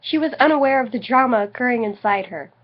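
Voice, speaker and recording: A woman's voice: a little high, animated, fast. A tipsy woman giving a theatrical, mock-dramatic reading of comic fan fiction, prone to giggling. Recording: slightly dull lo-fi home recording.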